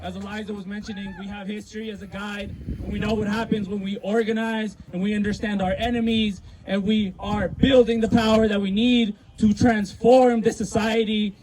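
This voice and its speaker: A man with a high-pitched voice addresses a protest rally outdoors. He projects loudly and rousingly as an emcee would. It is a live outdoor recording with crowd sound behind him. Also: little pitch movement, normal speed, few pauses, music in the background, poor audio. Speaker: rally emcee and chant leader.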